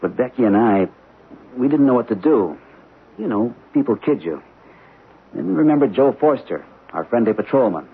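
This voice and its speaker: An actor playing a protective husband and father who tries to stay calm about a ghost, speaking earnestly in a 1970s radio drama. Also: medium pitch, moderate pace, slightly rough. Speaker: homeowner. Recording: narrow-band old radio broadcast with hiss.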